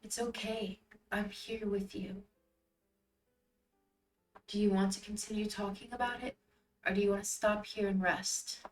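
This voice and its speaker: A woman voicing a calm, patient young man in a story, softly reassuring his best friend. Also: mid-range voice, a little fast, distant mic, close to an everyday voice. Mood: gentle concern. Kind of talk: acted story dialogue.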